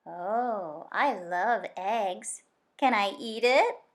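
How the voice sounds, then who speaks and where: A woman's voice: mid-range voice, very slow. A woman voicing a brash, greedy male fox in a storybook, with a blunt, wheedling manner. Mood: eager, wheedling.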